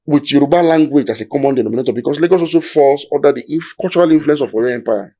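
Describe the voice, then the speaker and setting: A man's voice: a little high, racing, dark tone. A Nigerian man with a Nigerian accent, explaining history in a discussion in an emphatic, lecturing way, building his points step by step.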